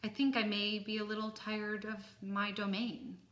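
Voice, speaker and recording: A big, gentle gorilla from a children's storybook , voiced by a woman reading aloud, weary and reflective. The voice is bright and rings in a roomy space. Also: medium pitch, little pitch movement, moderate pace, natural voice. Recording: roomy, echoing room sound.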